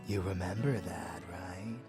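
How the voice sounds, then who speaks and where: A man playing a rebellious vampire lover in an intimate ASMR audio drama, doting and darkly scheming, in hushed murmurs. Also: deep voice, fairly even intonation, far from the mic. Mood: conspiratorial, affectionate. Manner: slow, hushed, murmured endearments. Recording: heavy echo, music underneath.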